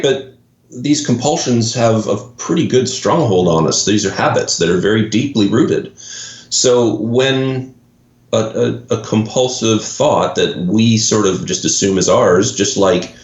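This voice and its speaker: A man with a Canadian accent talks through ideas as an interview guest, working through his thoughts with examples and false starts. His voice sits a little low. The recording is roomy and slightly dull, with a lo-fi edge. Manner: thoughtful, restarts phrases, explains with examples. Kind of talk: explanatory interview talk.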